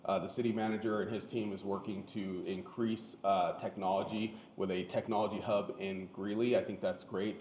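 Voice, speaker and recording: A man answering as a city candidate at a forum, measured and soft-spoken. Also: fairly low pitch.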